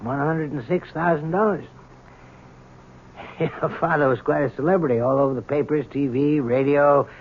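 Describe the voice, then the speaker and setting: An actor playing a humane, persuasive prison doctor with a hesitant, coaxing manner in an old-time radio drama. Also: male voice, a little high, a little slow, phone-quality sound, natural voice. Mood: chatty, official. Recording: thin, noisy old radio broadcast.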